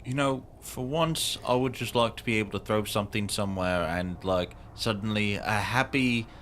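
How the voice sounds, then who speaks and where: A man's voice: fairly low pitch, normal speed, a bit bright, a little hiss or hum. A man playing a tabletop game, bantering casually in character with hesitant, dry joking.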